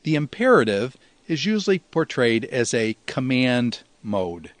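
A man's voice: medium pitch, big pitch swings, unhurried, dry recording. A man giving an instructional grammar lecture as a teacher, explaining step by step in a didactic, lightly folksy way. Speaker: teacher of New Testament Greek.

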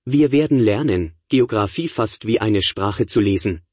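A middle-aged man teaches a college class in a relaxed, conversational lecture style, peppering his students with rhetorical questions. His voice sits low and has a husky edge. The recording is dry, with no room sound. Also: measured pace, no echo. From Niagara Falls area, New York, USA. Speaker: professor.

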